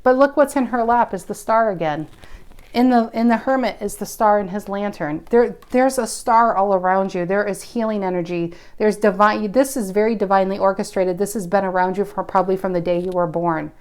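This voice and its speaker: A woman's voice: mid-range voice, fairly quick, darkish tone. Woman in her forties reading tarot aloud. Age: 40s. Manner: musing and intuitive, thinking aloud with frequent restarts. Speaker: tarot reader. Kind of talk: casual spoken tarot reading.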